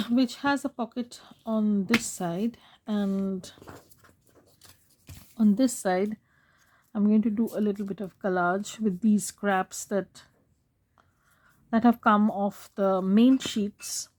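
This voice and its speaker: A woman walks through a journaling craft project in a slow, unhurried way, her voice hoarse as she is losing it. She speaks softly with a mellow, dark tone and often pauses to clear her throat. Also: medium pitch, steady tone. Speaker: craft channel host. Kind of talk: casual craft tutorial voice-over.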